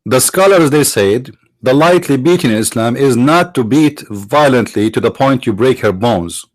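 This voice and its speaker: A man's voice: mid-range voice, expressive, moderate pace, dry recording, low-quality recording. Arabic-speaking guy ranting sarcastically, mid voice, dead dry mic. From Middle East. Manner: sarcastic, mocking rhetorical questions. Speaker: apologist speaker. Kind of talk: argumentative lecture.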